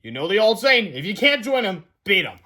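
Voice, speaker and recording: Man doing a cheeky, high-voiced schoolboy prankster. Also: very animated, brisk, pushed and bright, exaggerated voice. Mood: dismayed, scheming. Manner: casual, wisecracking.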